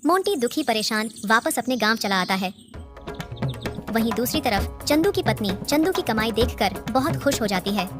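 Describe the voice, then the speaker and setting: A woman narrates a scripted cartoon tale as its storyteller. Her voice is fairly high, and loud music plays behind it. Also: animated, moderate pace.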